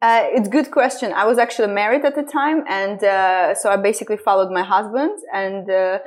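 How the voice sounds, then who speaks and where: A woman's voice: medium pitch, fast talker. A woman, speaking as an interview guest and explaining her wellness business earnestly, with small grammar slips. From Estonia. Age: 30s. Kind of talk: interview conversation.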